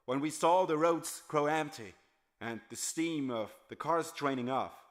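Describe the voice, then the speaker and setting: A man playing a weary homeless former factory worker in an audiobook, confessing his memories in a halting, solemn way. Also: a little high, pitched above the performer's own voice, close to an everyday voice. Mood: solemn, haunted. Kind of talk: dramatized audiobook monologue.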